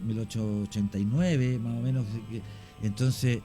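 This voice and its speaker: A man's voice: mid-range voice, fairly even intonation, moderate pace, mellow, faint music behind. A man with a Chilean accent, a radio guest giving long explanations full of fillers and restarts. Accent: Chilean Spanish.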